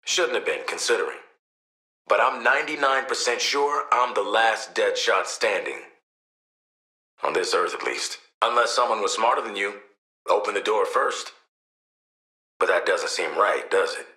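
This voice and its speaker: Sharp, cocky American assassin, echoey. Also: male voice, lowish voice, lively intonation, normal speed, pauses often. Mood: guarded, reflective. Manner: wry, streetwise, blunt. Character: cocky, sardonic, world-weary male assassin antihero. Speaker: voice actor playing a video game character. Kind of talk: scripted video game voice lines.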